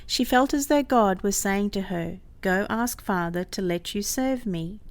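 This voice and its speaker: Story reader: woman with a mid-range voice, narrating from a script in clear storytelling style. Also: mid-range voice, a little slow.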